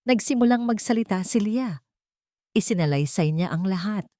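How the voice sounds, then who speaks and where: A woman's voice: mid-range voice, lively intonation, normal speed, dark tone, no echo. A woman reads a scripted dramatic story as its narrator.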